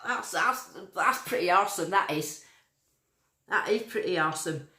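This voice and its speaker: A woman's voice: fairly low pitch, animated, normal speed, sharp tone, echoey room. A woman from Lancashire with a northern accent that sounds a bit Yorkshire, chatting casually on a livestream and reading out viewer comments. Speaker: metal detecting livestream host.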